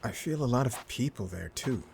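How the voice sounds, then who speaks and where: An actor playing a remorseful, brooding former pirate in an audio drama, with a gravelly voice and halting, subdued manner. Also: male voice, mid-range voice, lively intonation, a little mellow, a little hiss or hum. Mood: subdued, uneasy.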